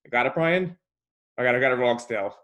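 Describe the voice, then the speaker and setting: A man's voice: medium pitch, expressive, brisk, fairly bright tone. A man hosting a webinar panel over a video call, hesitant with a slight stutter and many fillers. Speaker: host and moderator. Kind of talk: casual webinar moderation.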